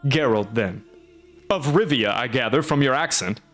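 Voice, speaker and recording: A man voicing a loud, gruff middle-aged castellan who speaks emphatically with sharp rises. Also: mid-range voice, moderate pace, soft background music, lightly acted. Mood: blustering. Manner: exclaiming, emphatic, rising.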